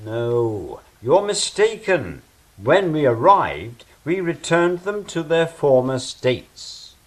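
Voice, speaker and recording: A man playing an anxious, defensive angel who guards Eden in an audio drama, fretful and deliberate. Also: on the high side, sing-song, full character voice. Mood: guarded. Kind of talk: stage-style audio drama acting.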